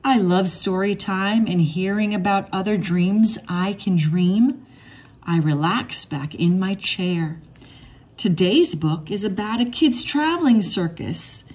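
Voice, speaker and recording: A woman reading a rhyming children's picture book aloud in an expressive, measured storytelling manner. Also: mid-range voice, husky. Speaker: read-aloud storybook reader.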